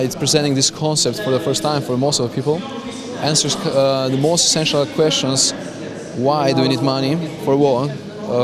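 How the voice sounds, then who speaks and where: A man with a Slovenian accent answering interview questions as a company founder, halting as he searches for words. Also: mid-range voice. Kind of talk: interview answers at a business conference. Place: crowded conference venue. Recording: busy event with loud crowd chatter. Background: loud crowd chatter.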